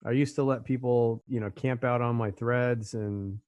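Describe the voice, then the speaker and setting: A man's voice: mid-range voice, fairly even intonation, moderate pace, a little mellow, no echo. A middle-aged man from California hosting a casual conversation, rambling a little with fillers and repeated words. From Orange County, California. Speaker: host.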